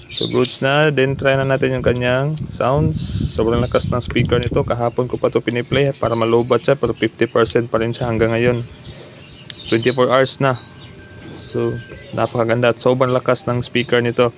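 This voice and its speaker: A man's voice: medium pitch, noisy background. Casual repairman doing a demo. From Philippines. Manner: informal, explains step by step, mixing in English terms. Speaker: repair technician demonstrating his work.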